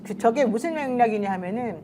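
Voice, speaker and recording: A woman hosting a political talk show, explaining things in an animated, conversational way and acting out quotes. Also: a little high, a little fast, noisy background. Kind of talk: live political commentary, explaining recordings.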